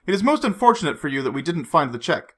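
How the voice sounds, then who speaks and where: A man reading a storybook, voicing a suave, menacing male villain who mocks his captive with unhurried threats. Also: fairly high pitch, big pitch swings, voice pushed up. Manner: silky, mocking, unhurried threats.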